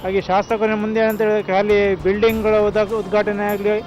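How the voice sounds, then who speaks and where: A man with a Mangalore accent gives a street interview. His voice is high and flat, over faint music. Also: normal speed. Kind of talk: street vox pop.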